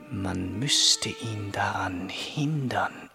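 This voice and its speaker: A man's voice: lowish voice, extremely slow, creaky, bright, forceful tone, soft background music, full character voice. An actor in a German radio play voicing a tormented young man tempted to murder, in an intense inner monologue that moves between whispers and agitated outbursts. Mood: anxious, torn.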